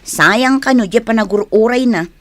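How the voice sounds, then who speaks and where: An actress playing a gossipy Filipino niece in a radio drama. She speaks in a high voice, recorded very dry and close. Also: normal speed, no room sound, natural voice. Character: chatty, gossipy niece of the family.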